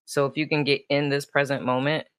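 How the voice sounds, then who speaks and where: A woman hosting a casual interview show, effusive in her manner and quick to laugh. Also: a bass voice, little pitch movement, clean tone, no echo. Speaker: show host. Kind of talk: warm casual interview chat.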